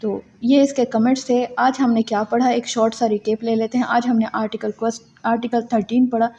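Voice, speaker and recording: A Pakistani-accented woman teaches law in a fairly high, very mellow voice. She reads legal text aloud and then explains it, posing questions and answering them. The audio is a little dull, with faint noise behind her. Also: flat delivery, fairly quick. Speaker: law lecturer.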